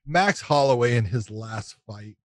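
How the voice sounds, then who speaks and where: A man's voice: mid-range voice, animated, measured pace, no echo. A man co-hosting a casual sports podcast, a former referee who stresses his points by repeating them.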